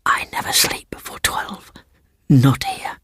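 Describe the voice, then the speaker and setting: A man voicing a secretive, bitter, frightened schoolboy with a British accent and hushed, breathy delivery in a story reading. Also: takes it slowly, in a whisper. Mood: hushed, eerie.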